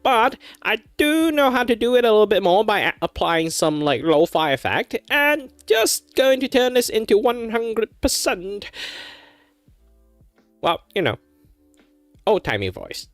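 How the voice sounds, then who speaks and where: A man's voice: high voice, big pitch swings, a little slow, no room sound, faint music behind, voice pushed up, exaggerated voice. A man in his thirties putting on a lighter, squeezed-out old-timey radio announcer voice while explaining his voice work. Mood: showing off. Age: 30s. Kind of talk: demonstrating voices on a livestream.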